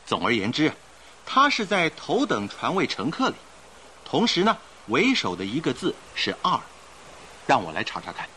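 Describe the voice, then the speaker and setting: Animated gentleman sleuth. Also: mid-range voice, normal speed, slight background noise, rough recording. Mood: deducing. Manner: emphatic, explanatory. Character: confident, theatrical gentleman passenger turned amateur detective. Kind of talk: radio drama acting.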